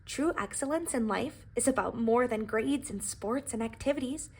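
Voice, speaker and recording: Woman voicing an earnest, high-voiced little storybook pie. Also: moderate pace, a little mellow, breathy edge. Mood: reflective, upbeat. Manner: earnest, dramatic emphasis. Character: humble young pie, anxious, earnest children's storybook narrator. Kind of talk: expressive storybook reading.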